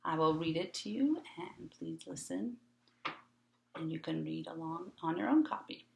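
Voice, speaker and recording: A woman reading an educational read-along script aloud in a clearly phrased, instructional manner. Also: deep voice, expressive, moderate pace, a little mellow, roomy sound, a little hiss or hum.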